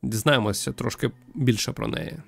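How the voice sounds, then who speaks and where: A young man from Ukraine thinking aloud as he streams a game, with hesitant pauses and wry joking. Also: medium pitch, vocal fry, fairly bright tone. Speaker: streamer playing a visual novel. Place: small room at home with string lights. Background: game music.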